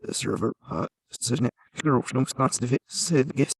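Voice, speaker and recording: A man co-hosting a casual podcast, talking haltingly with frequent restarts and fillers. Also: medium pitch, slightly rough, no echo. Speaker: podcast co-host.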